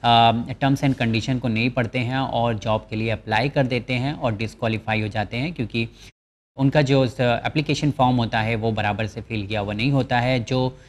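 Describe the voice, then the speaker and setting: A man's voice: medium pitch, fairly even intonation. A man hosting a channel, walking viewers through a government job notice. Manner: explanatory, reads English terms from the notice slowly. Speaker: channel host presenting job vacancies. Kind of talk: informational walkthrough of a job notice.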